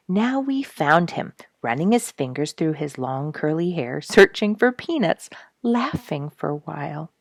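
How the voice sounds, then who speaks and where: Low-voiced woman storyteller with a creaky edge. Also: no room sound. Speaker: story reader and show host. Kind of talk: expressive scripted storybook narration.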